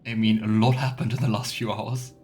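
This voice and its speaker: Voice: mid-range voice, flat delivery, brisk, a little mellow, soft background music. A let's player giving casual, musing commentary on a game and reading dialogue options aloud. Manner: musing, chatty asides.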